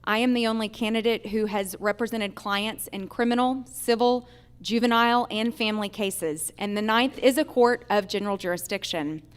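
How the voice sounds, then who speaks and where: A woman's voice: mid-range voice, fairly even intonation, normal speed, clean tone. A woman delivering a prepared campaign speech as a candidate for judge. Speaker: judicial candidate, lawyer. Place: theater, live event.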